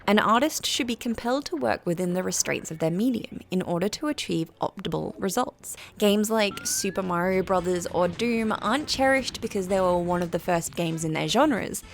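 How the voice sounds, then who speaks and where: A British woman reading a scripted review as narration, in a measured, articulate and formal way. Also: medium pitch, lively intonation, creaky.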